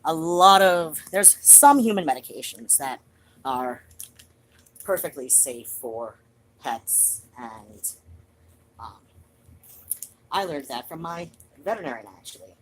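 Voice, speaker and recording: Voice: medium pitch, expressive, slow, pauses often, a bit bright, a little hiss or hum, rough recording. A streamer chatting casually to viewers in a rambling, digressive way.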